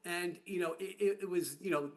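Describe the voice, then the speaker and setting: Fairly high-voiced man commenting off the cuff. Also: fairly quick. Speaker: audience participant, public health system worker. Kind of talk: spontaneous discussion comment.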